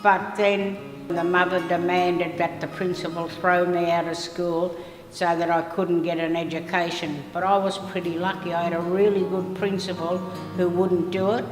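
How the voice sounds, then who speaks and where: Older woman, Australian accent, even monotone delivery, roomy reverb. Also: on the low side, moderate pace. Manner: steady, earnest storytelling. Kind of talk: documentary interview. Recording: echoey hall with soft music.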